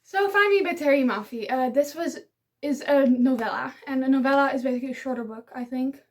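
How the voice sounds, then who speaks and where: High-voiced Dutch woman gushing over books. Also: fairly quick, darkish tone. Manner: gushing, rambling, giggly. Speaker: book vlogger. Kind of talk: casual book review vlog.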